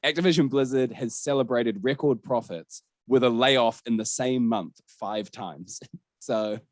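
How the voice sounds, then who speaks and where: A man's voice: medium pitch, measured pace, no room sound. A man hosting a gaming podcast, talking through the news in a candid, sweary, conversational way. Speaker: podcast host.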